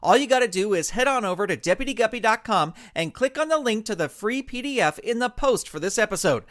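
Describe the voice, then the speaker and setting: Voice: mid-range voice, animated, fast talker, fairly bright tone. An American announcer reading scripted, upbeat credits for a children's audio show. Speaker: closing announcer.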